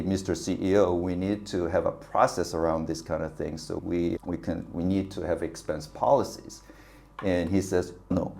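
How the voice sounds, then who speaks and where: Very low-voiced man telling work stories. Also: vocal fry. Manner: animated, laughs often, acts out quoted dialogue. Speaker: guest, business consultant. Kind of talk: casual interview storytelling.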